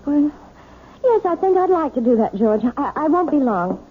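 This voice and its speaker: An actress playing a timid, nervous wife who hesitates and stammers, in an old radio drama. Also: female voice, high-pitched, very animated, normal speed, background noise. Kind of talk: 1970s radio drama acting. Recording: lo-fi archival radio broadcast.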